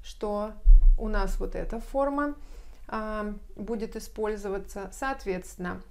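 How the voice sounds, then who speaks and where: A woman in her thirties teaching English grammar at a whiteboard, explaining carefully and saying example phrases slowly, recorded on a lapel mic in a bright room. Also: mid-range voice.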